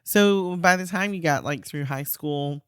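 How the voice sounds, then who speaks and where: A woman hosts a casual interview in a low voice at a slow pace. She is encouraging, offering short affirmations and simple questions, and the sound is close and dry. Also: a bit bright, clear, resonant tone, no room sound. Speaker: podcast host.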